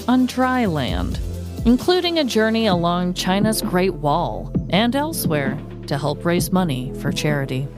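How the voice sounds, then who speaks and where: Woman podcast host, lower register, playful dramatic scripted narration, clear music bed underneath. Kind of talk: scripted true-crime comedy storytelling.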